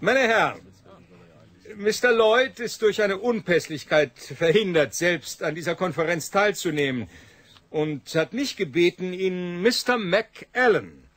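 An actor in a 1980s German radio drama playing a genial, eager go-between, effusive and formally courteous in a flowery way. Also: male voice, sits high, expressive, a little slow, a bit bright, no room sound, full character voice. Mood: formal, rousing. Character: genial, eager man, go-between among financiers.

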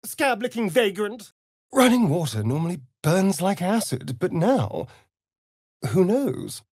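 An actor playing a sly, vain vampire rogue in a video game, with a silky, theatrical, mocking drawl. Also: male voice, high-pitched, big pitch swings, slow, a little mellow, husky, dry recording, studio quality, heavily acted. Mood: teasing, disdainful. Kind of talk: video game character voice lines.

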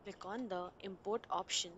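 A woman reading a screen-recording software walkthrough in a steady instructional way, with small grammar slips. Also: mid-range voice, moderate pace, slight background noise. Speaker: software tutorial narrator.

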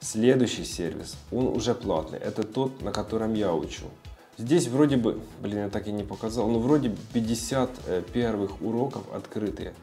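The laid-back, deep-voiced man you'd find on a video blog, informally explaining his tips with a little music underneath. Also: normal speed, mellow. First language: Russian. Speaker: video blogger sharing language-learning tips. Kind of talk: casual tutorial talk.

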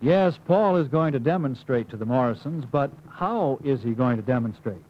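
A man's voice: medium pitch, animated, moderate pace. An American man reading scripted instructional narration in an emphatic manner, as the narrator of a training film. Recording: muffled, hissy 1950s film soundtrack.

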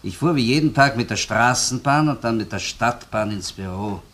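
An actor playing a gruff, sardonic Viennese police investigator in a colloquial crime radio play. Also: male voice, mid-range voice, normal speed, fairly bright tone, natural voice. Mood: matter-of-fact. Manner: colloquial, sardonic, keeps tagging sentences with "ne?". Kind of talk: German-language crime radio play acting.

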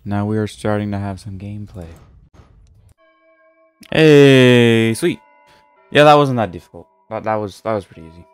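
A man's voice: fairly low pitch, moderate pace, pauses often, clear, resonant tone, no room sound, soft background music. A young man giving casual, offhand live gaming commentary, muttering to himself and swearing. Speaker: game streamer.

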